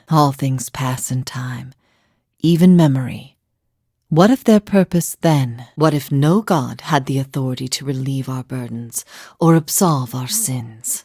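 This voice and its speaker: A woman voicing a grave, forgiving heretic teacher in a fantasy game, speaking in a solemn, measured, reflective way. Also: sits low, a little mellow, no echo, studio quality. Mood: solemn, forceful. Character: grave, forgiving female heretic teacher, long imprisoned, philosophical. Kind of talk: scripted fantasy game dialogue.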